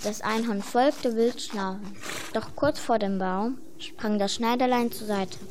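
A woman's voice: on the high side, unhurried, a little mellow, slight background noise. A woman reading scripted fairy-tale narration as the storyteller of a radio play.

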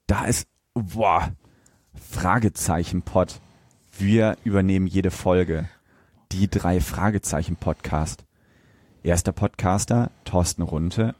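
A man co-hosts a podcast in a fairly low, mellow voice. He banters informally and often cuts in with questions. The recording is very dry and close. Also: takes it slowly, no room sound. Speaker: podcast co-host.

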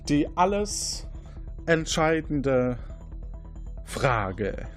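A man hosting a quiz, moderating a game show and explaining questions and points. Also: a little high.

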